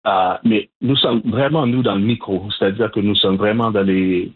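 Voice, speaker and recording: Interview guest: man, measured, hesitant, slightly husky voice. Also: lowish voice, steady tone. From Montreal, Canada. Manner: measured, hesitant, frequent restarts. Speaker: guest, Haitian community organizer. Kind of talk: interview answers. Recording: phone-line audio, husky.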